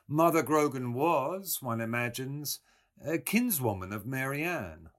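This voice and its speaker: A man voicing a grave, bookish young man with a measured, dryly ironic manner in a storybook reading. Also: mid-range voice, lively intonation, fairly bright tone, pitched above the performer's own voice.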